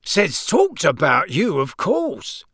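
A man voicing a hearty, genial middle-aged wizard father in an audiobook, loud, proud and given to bragging. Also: on the high side, wildly swooping pitch, slow, slightly rough, no echo, studio quality, full character voice. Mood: impressed. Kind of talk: audiobook character voice.

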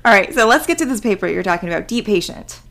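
A woman hosting a casual podcast who fires off quick questions. Her tone is friendly, with plenty of playful teasing. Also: medium pitch, animated, gravelly, fairly bright tone. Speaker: podcast host.

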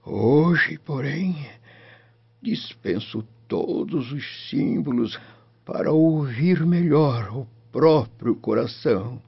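An actor playing a frail, devout old Roman father in a radio drama, solemn and tender, with a breathy, quavering voice. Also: mid-range voice, animated, slow pace, exaggerated voice. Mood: reverent. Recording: muffled lo-fi, music underneath.

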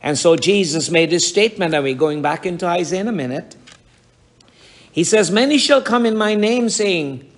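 A middle-aged man preaching a sermon to his congregation, with a raspy voice and emphatic rhetorical questions. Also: high voice, lively intonation, moderate pace. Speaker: pastor.

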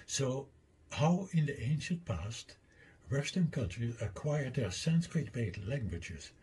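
Slow, husky older Dutch-accented man. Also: medium pitch, big pitch swings, mellow. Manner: deliberate, explains step by step with asides. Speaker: lecturer presenting his own theory. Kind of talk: slide lecture.

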